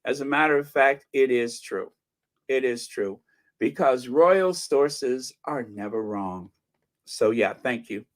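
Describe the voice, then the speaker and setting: An older man hosting a commentary channel, talking with a hoarse, scratchy voice and dry sarcasm. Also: medium pitch, expressive, slow. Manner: wry, sarcastic asides. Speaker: channel host. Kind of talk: casual commentary, reading viewer comments.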